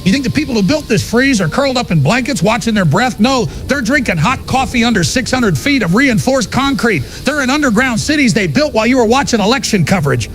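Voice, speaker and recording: A high-voiced, paranoid conspiracy broadcaster acted by a man delivers an apocalyptic monologue for an audio drama. He is defiant and accusatory, pressing every point with rhetorical force over a faint rumbling noise. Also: expressive, fairly quick, few pauses, fairly bright tone. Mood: accusing, urgent.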